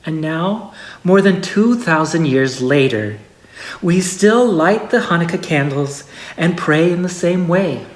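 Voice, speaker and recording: Expressive storyteller in an echoey room. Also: mid-range voice, slow pace. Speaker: story reader. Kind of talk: reading a holiday story aloud.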